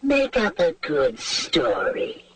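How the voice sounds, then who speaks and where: Slow, swooping, sly eel villain. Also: female voice, low voice, huge pitch swings, crowd noise, exaggerated voice. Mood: sly coaxing. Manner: drawn-out, mock-innocent coaxing. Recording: noisy, grainy old TV footage.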